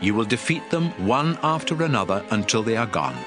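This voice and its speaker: A man's voice: medium pitch, lively intonation, a little fast, natural voice. An actor playing a stern, fervent prophet who addresses his people in a solemn, emphatic, declamatory dramatized scripture reading. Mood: urgent, assuring. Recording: music under the voice.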